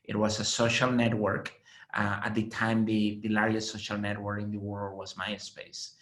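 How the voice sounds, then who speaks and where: A man in his late thirties with a Spanish accent, explaining his work as a venture investor in a measured way, with frequent fillers, on a video call panel. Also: fairly low pitch, monotone, fairly bright tone. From Spain. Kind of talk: panel conversation. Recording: roomy echo.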